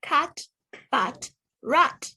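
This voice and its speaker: A woman reading aloud to young children as a teacher, pronouncing words deliberately one by one and putting questions to the listener. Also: high-pitched, expressive, bright, forceful tone. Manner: deliberate word-by-word enunciation, asks the listener questions. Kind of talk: read-aloud phonics lesson for children.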